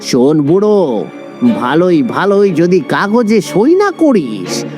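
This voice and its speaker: A man acts out a cruel cartoon village thug who bullies with relish. The voice sits a little high and moves extremely slowly, heavy with menace and gloating. Loud music plays behind it. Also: huge pitch swings, exaggerated voice. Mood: menacing, gloating. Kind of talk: cartoon story villain dialogue.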